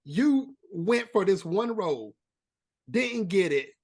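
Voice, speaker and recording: Lively, husky-voiced male host. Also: high voice, slow. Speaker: interview host. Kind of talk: interview hosting.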